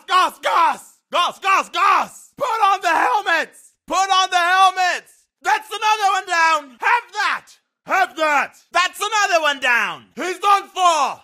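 An actor playing a battle-hardened British First World War soldier in a video game, barking short, urgent lines with a British accent. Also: male voice, very high-pitched, sharp tone, exaggerated voice. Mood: fierce, exultant.

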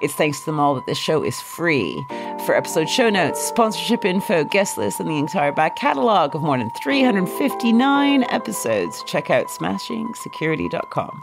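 A woman co-hosting a podcast with a hoarse, cracky voice, chatty and quick to laugh. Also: low-pitched, very animated, music in the background. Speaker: podcast co-host. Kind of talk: podcast interview and outro.

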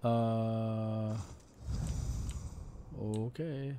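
A man's voice: fairly low pitch, fairly even intonation, drawn-out words, warm tone. A man giving casual, laid-back gameplay commentary, often muttering to himself. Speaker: gaming streamer, let's player. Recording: background noise, keyboard clatter.

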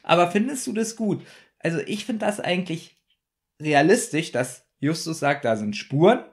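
A man's voice: a little high, lively intonation, normal speed. A man co-hosting a podcast in casual, bantering chat. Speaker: podcast co-host.

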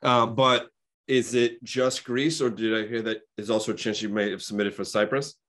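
Middle-aged man, podcast host, friendly and casual, lowish voice, video call. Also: flat delivery, moderate pace, fairly bright tone. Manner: friendly, affirming, frequent backchannels. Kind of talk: casual interview chat. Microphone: video call, webcam.

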